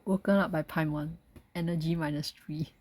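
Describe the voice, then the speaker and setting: A woman's voice: low voice, fairly even intonation, normal speed, background noise. A woman giving casual commentary while playing a video game. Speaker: game streamer. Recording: game music behind the voice. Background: game music.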